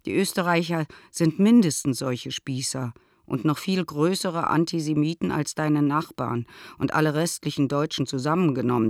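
A woman's voice: sits low, no room sound, clean studio sound. An actress playing a worldly, opinionated and affectionate middle-aged mother, speaking a letter in a radio drama. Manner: emphatic, opinionated.

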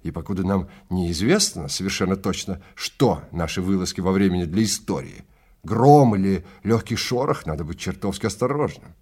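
A man's voice: low voice, normal speed, darkish tone, breathy edge. A man playing a stern, contemptuous male time-travel guide in an audio drama, lecturing and commanding with great emphasis. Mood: measured caution. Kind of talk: Russian audio drama acting.

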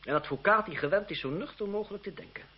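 A muffled old radio play recording with faint hiss carries a witty young lawyer of revolutionary France. His voice is fairly high and swings expressively. He speaks with urbane irony and cool condescension. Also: animated, quick pace. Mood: dry irony. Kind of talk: radio drama acting.

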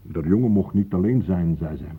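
An actor playing an older, guarded, matter-of-fact local man with a husky voice, formally polite, in a Dutch radio play. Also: low-pitched, brisk, narrow, tinny audio. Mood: solemn.

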